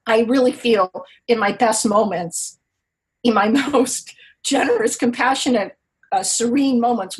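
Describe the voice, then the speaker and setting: Poker writer lady, husky voice, stops and restarts a lot, thinking out loud. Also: fairly high pitch, low-quality recording. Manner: halting, searching for words, many restarts. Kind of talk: podcast interview, reflective.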